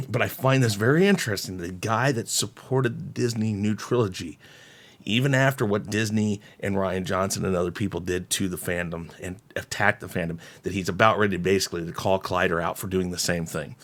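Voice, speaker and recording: A man hosting casual reaction commentary, speaking in a measured way with pauses and throat clearing. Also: deep voice, a bit bright. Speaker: host reacting to a video.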